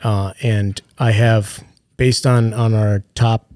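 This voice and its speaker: A man speaking as a podcast guest in a relaxed, anecdotal, chatty way. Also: lowish voice, slow pace, dry recording, studio quality. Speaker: carrier solutions director, guest. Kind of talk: casual business chat.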